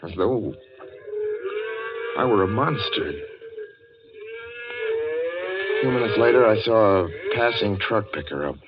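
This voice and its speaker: A male actor plays an intense, haunted young man in a 1940s radio thriller. His pitch moves dramatically and his delivery is troubled and urgent. The recording is dull and heavily noisy, like an old broadcast. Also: animated, natural voice. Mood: desolate narration. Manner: intense, halting when pleading. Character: haunted young man driving cross-country, increasingly frantic and desperate. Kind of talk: 1940s radio acting, first-person suspense narration.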